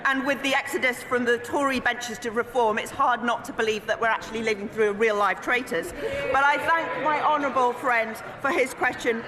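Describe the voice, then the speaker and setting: A woman with a Welsh accent, a government minister giving formal, measured answers in a parliamentary debating chamber. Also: fairly high pitch. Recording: echoey chamber with murmuring crowd.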